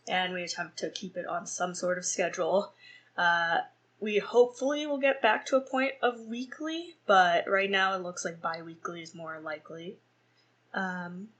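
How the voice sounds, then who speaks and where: A woman's voice: mid-range voice, fairly bright tone. A young woman hosting a solo podcast and reviewing a film, rambling with many fillers and restarts and laughing at her own slips. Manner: laughs at herself. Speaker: podcast host.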